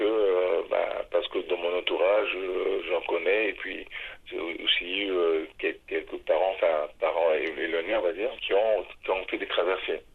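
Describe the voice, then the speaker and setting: Very deep husky man, phone-like. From Congo. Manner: halting, searching for words. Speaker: illustrator, artist. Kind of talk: personal interview testimony. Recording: narrow, phone-like muffled sound.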